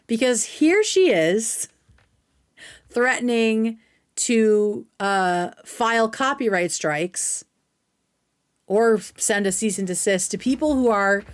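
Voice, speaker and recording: American woman streaming, chatty and blunt, swears a bit, medium pitched voice, casual hot takes. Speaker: live stream host reacting to clips. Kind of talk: casual opinionated livestream commentary.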